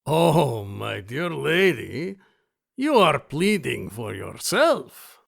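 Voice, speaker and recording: A man playing a suave, menacing gentleman host in an audio drama, with a gravelly voice and formal, theatrical manner. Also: medium pitch, big pitch swings, very slow, heavily acted. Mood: courtly, theatrical.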